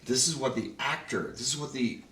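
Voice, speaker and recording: A man's voice: mid-range voice, a little fast, crowd noise. Guy who writes and produces, acting out what he used to say, sounds roomy and a bit off mic. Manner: animated, acts out his own past remarks. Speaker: co-producer and writer of the radio play. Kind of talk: casual roundtable chat. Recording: echoey room, mic at a distance.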